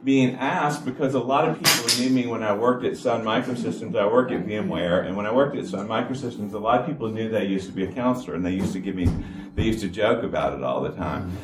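A man, giving an informal talk to a church congregation in a flat, even-toned delivery. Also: lowish voice, fast. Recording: echoey hall from far off with noise.

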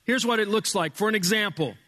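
A male pastor with a loud voice preaching a sermon in a church, emphatic, dropping from booming to hushed. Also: a little fast, big-room sound. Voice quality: loud speaking voice. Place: church sanctuary with sound board.

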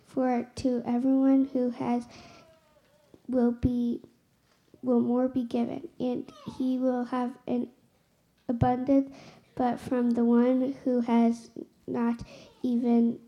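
A woman giving a solemn scripture reading in measured, deliberate phrases. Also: a little high, completely flat delivery, highs cut off. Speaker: scripture reader.

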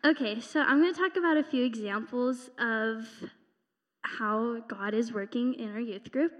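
A woman's voice: on the high side, moderate pace, a bit bright. Chatty teenage girl in a church hall. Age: teens. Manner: chatty, upbeat storytelling. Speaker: teen speaker at youth service. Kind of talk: church testimony talk. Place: church sanctuary. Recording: long hall reverb.

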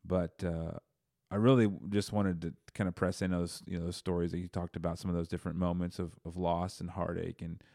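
Podcast host, low-voiced man, encouraging and affirming, dry close sound. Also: level tone, a little fast, creaky, hardly any pauses, dark tone, dry recording. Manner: affirming, encouraging, lots of "wow" and "yeah". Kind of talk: casual interview.

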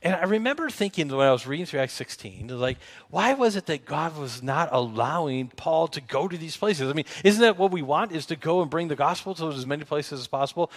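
A male pastor with a Midwestern American accent gives a conversational sermon. His voice sits a little high for a man. He talks informally and haltingly, circling back to rephrase and asking rhetorical questions as he explains.

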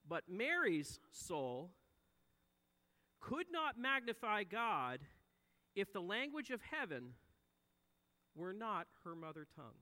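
A man in his forties delivers a sermon in an earnest, high and bright voice. He swells for emphasis and then sinks low and soft at the ends of phrases. His voice rings out with a long reverb in a church sanctuary. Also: sing-song, takes it slowly, pauses often. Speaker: preacher.

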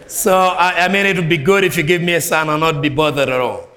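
A man from Malawi with a Malawian accent preaching a sermon as a guest preacher, swinging between soft low phrases and raised ringing lines. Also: fast talker, echoey room. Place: church.

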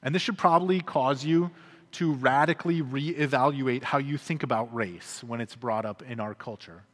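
A man's voice: medium pitch, normal speed, fairly bright tone. Earnest man preaching in a reverberant hall. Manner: earnest, emphatic preaching with dropping endings. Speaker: pastor. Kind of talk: sermon. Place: church sanctuary.

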